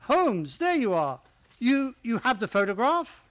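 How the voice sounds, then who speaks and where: A man playing a loyal, puzzled gentleman companion to a detective in a radio play. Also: very high-pitched, sing-song, a little slow, faint music behind, exaggerated voice.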